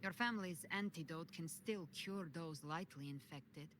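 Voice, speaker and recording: Solemn female warrior for a fantasy game, played by an actress: slightly low, authoritative, measured delivery. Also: noisy background, natural voice. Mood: grave, solemn. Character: composed, solemn woman warrior with secret powers. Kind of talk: dramatic game voice acting.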